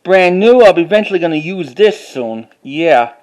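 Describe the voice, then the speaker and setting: A man with a halting, word-repeating way of talking, doing a casual show-and-tell like an enthusiastic collector. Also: high-pitched, expressive, a little mellow, poor audio. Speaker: vlogger.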